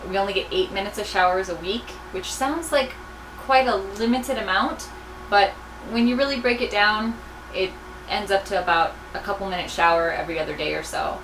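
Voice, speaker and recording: Woman casually answering questions, mid pitch, echoey room with a bit of noise. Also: normal speed. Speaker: research crew member answering students' questions.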